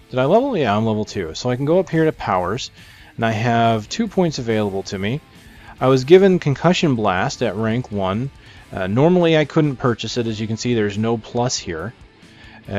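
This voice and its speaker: A man's voice: mid-range voice, muffled recording. A man with a mid-range voice presents a game as he plays it. He explains in a casual, conversational way, thinking aloud and correcting himself. Faint music sits behind his voice. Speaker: gamer presenting a game preview. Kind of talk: casual gameplay commentary, explaining as they play.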